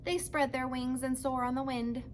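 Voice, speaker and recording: A woman voicing a patient, protective robot mother who speaks in precise, formal, measured sentences for a children's storybook. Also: sits high, steady tone, slight background noise, close to an everyday voice. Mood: matter-of-fact.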